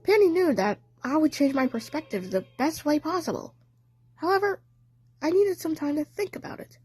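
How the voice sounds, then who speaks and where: A woman narrating a fan audio play in the first person, telling the story in an earnest, deliberate, dramatic way. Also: high-pitched, expressive, a little mellow, faint music behind.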